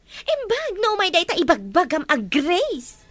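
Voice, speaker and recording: An actress playing a scheming, sharp-tongued woman in radio-style family melodrama. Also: very high-pitched, huge pitch swings, unhurried, no room sound, faint music behind, full character voice. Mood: scornful.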